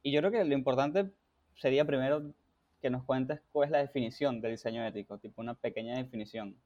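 A man from Venezuela with a Venezuelan accent, hosting a casual podcast interview with a relaxed, laughing manner. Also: mid-range voice, brisk, lo-fi sound. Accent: Venezuelan Spanish. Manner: relaxed, laughs often, many fillers. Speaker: podcast host.

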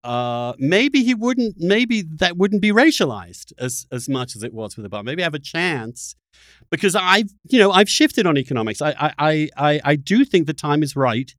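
A man with a fairly high, very animated voice talks through an argument in casual discussion. He is emphatic, often breaking off and restarting as he goes. The recording is dry and close, with no room sound. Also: dry recording. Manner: emphatic, halting with repeated restarts. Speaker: podcast host in conversation with a guest.

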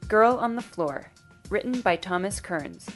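A woman's voice: mid-range voice, lively intonation, a little slow. A woman giving scripted announcements as a podcast presenter. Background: music.

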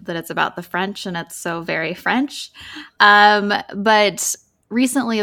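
A woman's voice: on the low side, creaky, bright, forceful tone. A woman co-hosting a podcast in a casual, chatty style, colloquial and leaning on emphatic words. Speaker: podcast co-host.

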